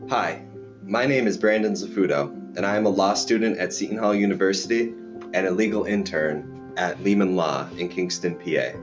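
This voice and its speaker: A man in his twenties, a law student, reading a formal, earnest message. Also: low voice. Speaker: law student and legal intern. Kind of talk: scripted promotional message. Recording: dull lo-fi recording.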